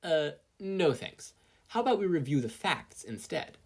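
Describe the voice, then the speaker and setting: A needling inner conscience from an audio story, played by a reader. It is smug, logical and teasing, with lively swings in pitch and a slightly husky tone. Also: unhurried, exaggerated voice.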